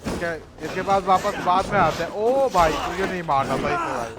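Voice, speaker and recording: Guy doing casual game commentary, super fast, slangy and crude, noisy track with game sounds. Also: high voice. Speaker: gamer doing a walkthrough.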